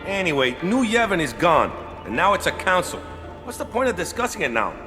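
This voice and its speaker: An actor playing a blunt, easygoing older guardian in a game audio drama, with colloquial phrasing and tags like "eh". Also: male voice, high voice, very animated, a little fast. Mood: patient explaining. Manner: colloquial, tags sentences with "eh" and "yeah". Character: gruff, easygoing older guardian man, patient but blunt. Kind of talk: acted audio drama dialogue. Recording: music behind the voice.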